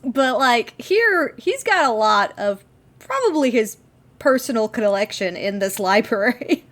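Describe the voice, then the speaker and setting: A woman's voice: fairly high pitch, sing-song, a little slow, fairly bright tone. A woman co-hosting a podcast, chatting casually and enthusiastically as a giggly fan recapping a TV episode. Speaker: podcast co-host.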